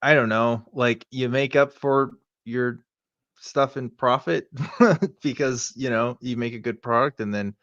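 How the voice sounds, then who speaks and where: A man co-hosting a casual reaction show, speaking at an average pitch. His delivery is informal and hedging, full of "like" and "right?". The sound is dry and somewhat dull. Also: measured pace, fairly bright tone, no room sound. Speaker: show co-host. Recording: dull, band-limited dry audio.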